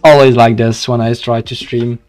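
A young adult man streams a video game with a Dutch-speaking Belgian accent. His voice sits at a medium pitch, and he chats casually, breaking off and restarting sentences as he reacts. Music plays behind him. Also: little pitch movement, moderate pace, darkish tone. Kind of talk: casual live gaming commentary with chat.

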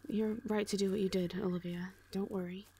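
A woman voices a commanding female orc mercenary in an audio roleplay. The voice sits at a middle pitch, calm and reassuring, with short, plain, measured phrases. There is faint forest noise behind. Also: lightly acted. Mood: calm reassurance. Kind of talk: audio roleplay drama acting.

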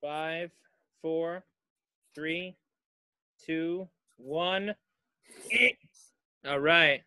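A man's voice: a little high, fairly even intonation, drawn-out words, pushed and bright, clean tone, no room sound. A man in his thirties chatting casually and playfully as a podcast host on a video call. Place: home basement.

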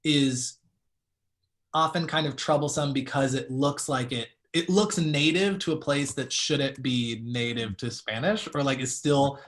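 A man's voice: mid-range voice, measured pace, a bit bright. A young man with a Miami accent, a poet answering questions on a video call and thinking aloud with many fillers. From Miami, United States. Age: young adult. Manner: thoughtful, hedging, many "like" fillers. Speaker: poet guest. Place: small room at home, indoors. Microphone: video call webcam.

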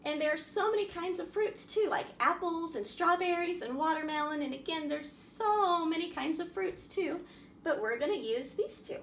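A middle-aged woman hosting a children's storytime, reading and explaining in a sing-song, animated manner with simple questions for small children. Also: very high voice, normal speed. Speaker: library storytime host. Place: small indoor room at a wooden table. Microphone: camera a few feet away. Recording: roomy echo, faint background noise.

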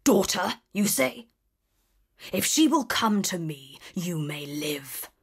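A woman voicing a huge, gruff male beast who is sad and brooding, speaking in a low, breathy whisper. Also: animated, slow pace, exaggerated voice. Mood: menacing.

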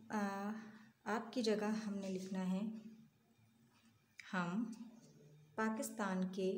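A woman's voice: medium pitch, darkish tone, a little hiss or hum. Echoey patient teacher woman. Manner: patient, question-and-answer teaching. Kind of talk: online lesson for young children. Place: room at a desk, voice over an open textbook. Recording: echoey room with faint ambience.

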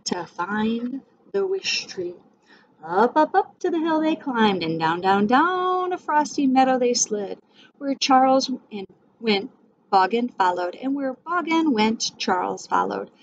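A middle-aged woman teacher reading a storybook aloud to young children, expressive and addressing them directly. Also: a little high, measured pace. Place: small indoor room with whiteboard. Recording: dull sound, faint background noise.

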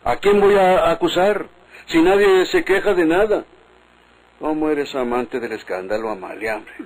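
A man acts out an exasperated Mexican village official in a vintage radio drama. His voice is fairly high and husky, and he is defensive and dismissive as he argues. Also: normal speed, close to an everyday voice, poor audio.

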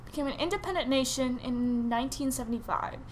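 A woman's voice: high voice, fast. A woman chatting casually as a co-player in a gaming video. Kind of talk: casual chat while playing a game.

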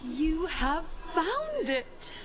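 An actress playing a wry ghostly female spirit in a video game, speaking in a measured, theatrical way. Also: very high voice, very animated, full character voice. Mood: teasing, mysterious. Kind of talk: video game dialogue acting. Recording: game audio with music behind.

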